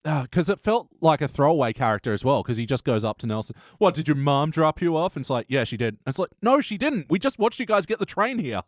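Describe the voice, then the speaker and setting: A man's voice: fairly high pitch, big pitch swings, fast talker, few pauses, no room sound, studio quality. A man hosting a podcast review in a casual, conversational style. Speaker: podcast host leading a panel review. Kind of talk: casual chat.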